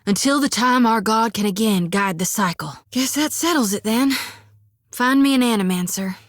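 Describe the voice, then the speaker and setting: Woman voicing a game priestess, drawl, fiery and devout, slightly high voice, dry studio sound. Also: normal speed, no room sound, natural voice. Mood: earnest. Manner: folksy drawl with country sayings. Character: devout young female priestess, folksy and fiery. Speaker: game companion character. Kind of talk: video game voice acting.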